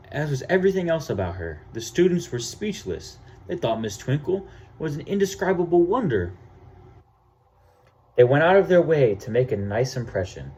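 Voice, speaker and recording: A man reading a children's story aloud in an expressive way, acting out the characters' lines. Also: mid-range voice, a little hiss or hum. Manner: animated, acts out characters' lines. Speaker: storybook reader.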